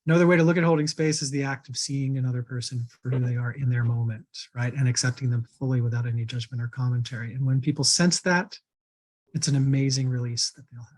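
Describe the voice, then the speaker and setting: A man teaching an online workshop on personal energy, calm and reassuring as he reviews grounding skills. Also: mid-range voice, quick pace. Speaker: workshop instructor.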